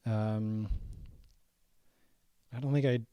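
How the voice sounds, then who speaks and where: A young man walking through his own saxophone arrangement in a casual, halting way, full of ums and restarts, his voice getting raspy. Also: on the low side, fairly even intonation, warm tone, no echo. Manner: halting, many ums and restarts, thinking aloud. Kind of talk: casual screen-share breakdown.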